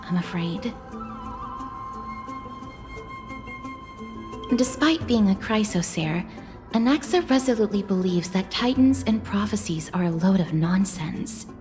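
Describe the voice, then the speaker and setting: An actress plays a gentle, poised young woman from a fantasy game. Her mid-pitched voice is measured and courteous, with formal phrasing. Soft music sits faintly underneath. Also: animated, natural voice. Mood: matter-of-fact. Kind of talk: scripted video game drama.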